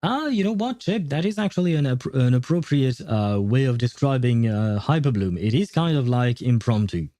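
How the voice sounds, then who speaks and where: A man with a soft-edged, mellow voice chats casually on a gaming livestream. He answers viewers in an explanatory way, pausing often to find his words. His medium-pitched voice sounds dry, with no room echo. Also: very animated.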